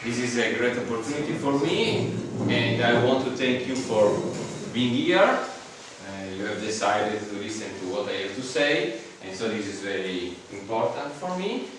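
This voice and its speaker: A man with an Italian accent giving a guest seminar in English, speaking haltingly with many fillers and restarts. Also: medium pitch, expressive, background noise. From Italy. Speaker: lecturer. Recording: echoey hall from far off.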